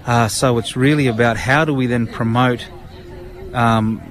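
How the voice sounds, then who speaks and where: An Australian man answering interview questions earnestly, halting with many fillers and restarts, recorded outdoors at a public event. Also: mid-range voice, steady tone, fairly bright tone. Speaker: guest, national committee member. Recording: outdoor event with crowd murmur.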